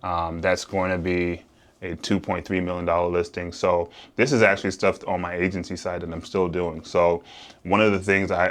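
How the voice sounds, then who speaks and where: A man with a deep voice and an American accent speaks casually to a vlog audience. He explains things as a working real estate developer and agent.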